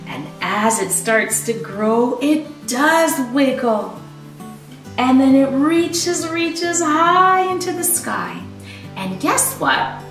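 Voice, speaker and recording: Woman teacher doing storytime for little kids, really swoopy slow delivery, echoey room. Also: sits high, distant mic, faint music behind. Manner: expressive, exaggerated emphasis, teacherly. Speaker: children's teacher reading a storybook aloud. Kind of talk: storytime read-aloud with explanations for young children. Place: small living room at home, indoors. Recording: roomy echo, away from the mic.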